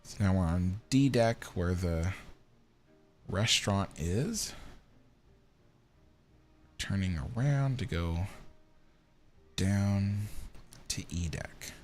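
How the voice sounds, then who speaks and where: A man's voice: low-pitched, extremely slow, long pauses, faint music behind. A tired man in his thirties hosting a casual livestream, rambling with many ums as he answers viewer comments. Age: 30s. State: tired, yawning. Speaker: livestream host.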